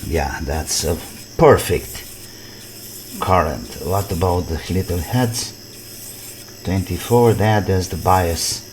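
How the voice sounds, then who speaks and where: Man, deep-pitched, unhurried, halting phrases, casual repair commentary, noisy clicky recording. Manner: halting, thinking aloud in short fragments. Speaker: electronics repair hobbyist presenting his restoration.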